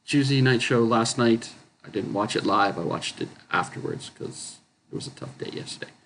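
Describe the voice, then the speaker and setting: A man chatting casually about his comic book haul, his voice going hoarse and rough, clearing his throat often and correcting himself as he rambles. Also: medium pitch, slight background noise. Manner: rambling, self-correcting, chuckling. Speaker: comic book collector vlogger.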